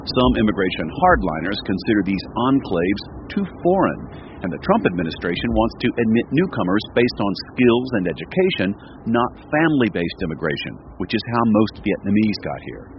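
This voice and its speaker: A man reporting for radio news, telling the story in a measured, scripted narration. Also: lo-fi sound, a little hiss or hum. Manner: measured storytelling delivery with lively pitch.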